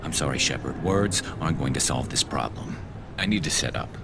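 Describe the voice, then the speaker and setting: Game role: brooding alien avenger, extremely low, rasping, terse and hard-edged. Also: male voice, animated, a little fast, very noisy. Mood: grim, resolute. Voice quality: very deep, gravelly with a resonant rasp. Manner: terse, grim, intense. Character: vengeful alien sniper, brooding soldier seeking justice for his men. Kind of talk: video game cutscene acting.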